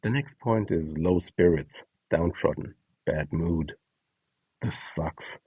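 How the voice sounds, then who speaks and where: A man's voice: deep voice, takes it slowly, a little mellow, breathy edge, no room sound, distant mic. A man reading a scripted instructional guide, acting out short example lines as he goes. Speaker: instructor presenting a voice donation guide.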